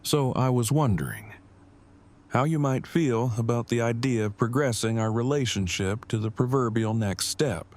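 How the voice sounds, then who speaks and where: A man's voice: mid-range voice, normal speed, darkish tone, natural voice. A man acting an earnest, wordy boyfriend in an audio drama, speaking in formal, precisely worded, stilted phrases. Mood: careful, earnest.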